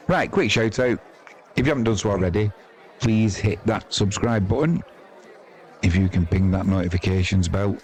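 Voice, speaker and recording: A man's voice: low-pitched, darkish tone. A man with an English accent giving chatty, wry, self-deprecating amateur live commentary on cricket. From England.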